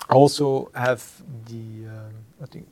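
Gravelly-voiced Flemish executive, reflective. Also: male voice, medium pitch, steady tone, darkish tone, rough recording. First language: Dutch. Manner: reflective, pauses to search for words, tags points with "huh?". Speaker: company executive. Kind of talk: interview about leadership.

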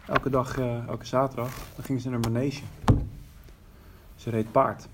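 An actor playing an older widowed father who talks plainly and haltingly about his missing daughter in a fiction podcast. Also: mid-range voice, flat delivery, heavy background noise, natural voice. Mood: subdued grief. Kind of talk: fiction podcast, naturalistic interview acting.

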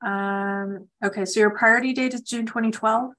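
A woman's voice: fairly high pitch, fairly even intonation, moderate pace, a bit bright. A woman attorney hosting a conference call, giving direct, explanatory legal advice in a conversational way.

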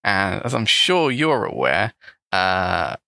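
A man co-hosting a language podcast. His voice is bright and crisp with a gravelly edge, and it swings up and down as he talks. He speaks in a relaxed, thoughtful way, with a few small restarts, and the recording is close and dry. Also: low voice, takes it slowly, no room sound. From England. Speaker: podcast co-host, English teacher. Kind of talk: casual podcast chat.

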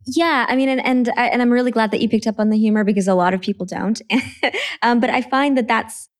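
A woman from Trinidad, an author talking about her books in a relaxed interview, earnest and quick to laugh. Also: a little high. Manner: earnest, laughs easily, repeats words when starting. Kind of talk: conversational interview.

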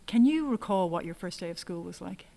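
A woman hosting a podcast, reading a polished introduction and then asking casual, measured interview questions. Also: fairly low pitch, lively intonation, creaky, dark tone. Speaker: podcast host, talks coordinator.

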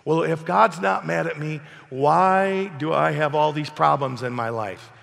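A man preaching an emphatic sermon while hoarse from a cold, asking his congregation questions. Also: a little high, a little slow, fairly bright tone, in a large hall. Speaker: pastor. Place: church hall.

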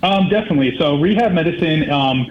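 Man from Connecticut, physician guest, slightly high voice, roomy echo, dull audio. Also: fast. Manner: relaxed, friendly, many ums. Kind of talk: casual interview.

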